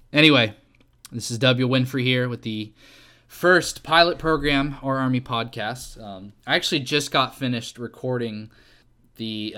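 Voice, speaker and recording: A man hosting a casual solo podcast, rambling and self-deprecating, with plenty of fillers and swearing. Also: medium pitch. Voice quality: fairly monotone delivery. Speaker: podcast host.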